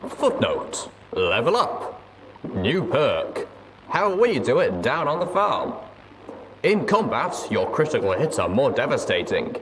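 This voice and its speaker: A man reading a story aloud as host, in expressive first-person narration. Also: medium pitch, slow pace, background noise. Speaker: audiobook reader and show host.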